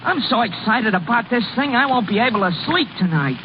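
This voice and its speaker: Excitable kid brother, very high-pitched. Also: male voice, brisk. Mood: pleading, excited. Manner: exclamatory, pleading, folksy. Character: eager, excitable, nagging kid brother of a ballplayer. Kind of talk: 1930s-40s radio comedy acting. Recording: muffled, noisy old radio broadcast.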